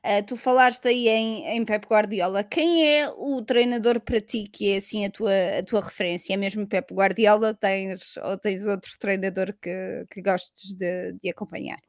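Portuguese woman from portugal hosting a podcast, mid pitch, chatty interviewer, dry close audio. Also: steady tone, normal speed, few pauses, no echo. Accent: European Portuguese. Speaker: podcast host. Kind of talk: conversational interviewing.

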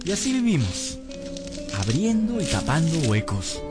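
A crafty, hungry young servant lad tells his misadventures in a radio drama. His voice sits a little high and swings expressively, theatrical in every phrase, over a clear music bed. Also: huge pitch swings, a little slow. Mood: wry storytelling. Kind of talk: radio drama narration and dialogue.

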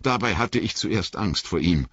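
A man's voice: deep voice, expressive, measured pace, a bit bright, breathy edge, no room sound, muffled recording, exaggerated voice. A man reading an audiobook voices a young hobbit who asks eager, earnest questions.

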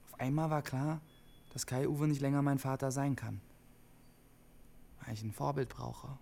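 A man's voice: mid-range voice, fairly even intonation, measured pace, vocal fry, darkish tone, a little hiss or hum. An actor playing a defiant, lonely young man telling invented stories in short, matter-of-fact statements in a radio drama. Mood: matter-of-fact, insistent. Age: young adult. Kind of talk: naturalistic German radio drama acting.

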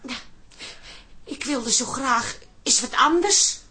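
An actress playing a nervous, evasive and dramatic wife in a comedy radio drama. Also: female voice, sits high, takes it slowly, pushed and bright, a little hiss or hum, exaggerated voice. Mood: wistful.

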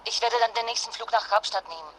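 A woman's voice: fairly high pitch, fast talker, fairly bright tone, natural voice. An actress in a radio drama playing a protective mother fleeing a disaster with her son, earnest, insistent and reassuring. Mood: serious, worried. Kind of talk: modern radio drama acting. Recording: clearly noisy phone connection.

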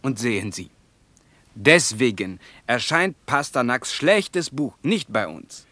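A man's voice: mid-range voice, very animated, takes it slowly, pushed and bright, no echo, heavily acted. An actor playing a zealous, scornful Soviet Russian man in a radio play, lecturing emphatically. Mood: earnest, dogmatic. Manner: emphatic, lecturing, evasive under questioning. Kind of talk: mid-20th-century German radio play dialogue.

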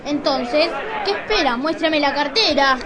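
Woman playing a high-voiced, officious male supermarket supervisor. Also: lively intonation, unhurried, a crowd in the background. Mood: officious, insistent. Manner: officious, insistent. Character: stern male supermarket supervisor, rigid rule-follower. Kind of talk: amateur radio play.

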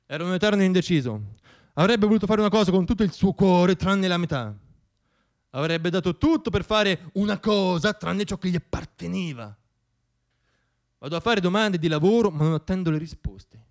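A man performing a theatrical radio monologue, telling stories in a wry, dramatic way with deliberate pauses. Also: high-pitched, expressive.

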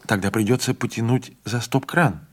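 A man's voice: medium pitch, normal speed, slightly rough, lightly acted. Man voicing an impatient young student.

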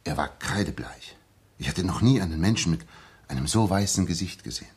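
A shaken ex-soldier in a sixties radio play. His husky, fairly low voice moves widely in pitch as he narrates earnestly and haltingly. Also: lively intonation, darkish tone, exaggerated voice. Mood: earnest, suspenseful. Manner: earnest, hesitant, repeating words when agitated. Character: earnest, shaken young English ex-soldier telling his story. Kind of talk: 1960s German radio drama acting, dramatic narration.

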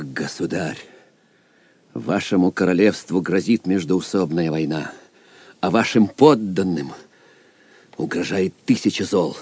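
An actor playing a loyal young knight in a Russian audio drama, earnest and dramatic. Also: male voice, low-pitched, a little mellow, breathy edge, no room sound.

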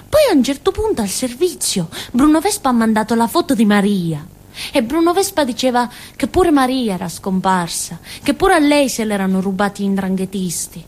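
A fairly high female voice with a southern Italian, Calabrian accent as the village simpleton. She is naive and stubborn, telling her story in a theatrical monologue. Her talk is childlike and colloquial, rambling along with little interjections. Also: big pitch swings, normal speed, darkish tone. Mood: animated, wondering.

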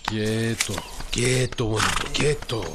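An actor playing a brusque, brooding revolutionary general with a Mexican accent in a radio drama, curt and commanding. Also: male voice, lowish voice, fairly even intonation, takes it slowly, heavy background noise. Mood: irritated, commanding. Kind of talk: Spanish-language radio drama acting.

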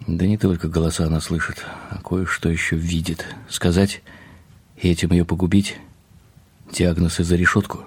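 A man narrating a first-person radio drama in an expressive, confiding, slightly wry way. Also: low voice, normal speed, breathy edge, dry recording. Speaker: narrator and lead actor.

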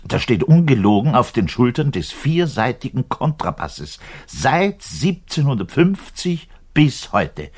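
An actor playing a self-important, frustrated orchestral double bass player in a radio monologue, pedantic, rambling and emphatic, with a husky voice. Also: male voice, big pitch swings, a little slow, few pauses, a little mellow. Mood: proud, lecturing. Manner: pedantic, rambling, emphatic, shifting to muttered asides. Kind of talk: theatrical monologue addressed to a listener.

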